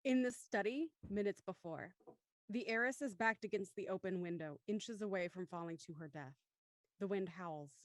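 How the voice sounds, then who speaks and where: Measured woman narrator, dry sound. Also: mid-range voice, dry recording. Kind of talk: scripted narration for a radio mystery.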